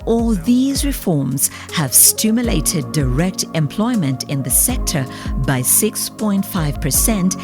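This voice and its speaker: A woman delivers scripted documentary narration in a fairly low voice. Music plays clearly behind her as she reads. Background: background music.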